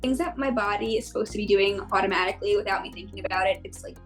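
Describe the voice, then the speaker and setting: A woman's voice: mid-range voice, rapid-fire, faint music behind, poor audio. A woman aged twenty-eight answering interview questions casually and reflectively.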